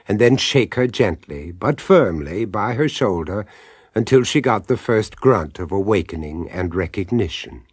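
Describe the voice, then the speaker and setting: A man narrating a radio horror drama in a measured, grave storytelling manner. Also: lowish voice, a little mellow, dry recording, studio quality.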